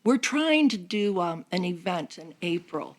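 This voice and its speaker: A woman's voice: lowish voice, very animated, unhurried. An older American woman, in her sixties or beyond, chatting casually in a group conversation as a member of a seniors' group. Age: 60s or older.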